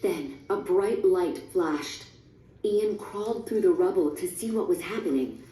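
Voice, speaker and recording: A woman's voice: lowish voice, animated, a little slow, mellow. A woman reading a scripted storybook narration for a read-along recording. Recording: roomy, distant, music underneath.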